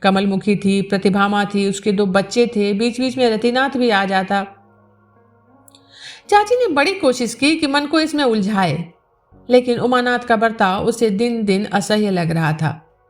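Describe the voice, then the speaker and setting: A woman's voice: medium pitch, unhurried. A woman reading a literary novel aloud as a scripted story narration. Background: background music.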